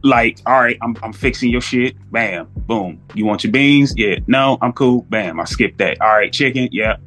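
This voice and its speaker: A man's voice: mid-range voice, moderate pace, a bit bright, soft background music. A man chatting casually as a podcast guest, telling anecdotes in slangy speech and acting out both sides of exchanges.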